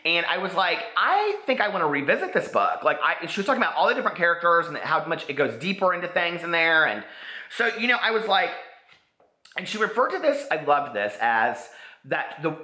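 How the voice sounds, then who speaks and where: A man in his forties with an American accent, chatting casually to camera in an excitable, rambling way with lots of "like". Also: fairly high pitch, a little fast. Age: 40s. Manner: excitable, rambling, peppers talk with "like". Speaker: book vlogger. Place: living room, indoors. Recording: echoey living room.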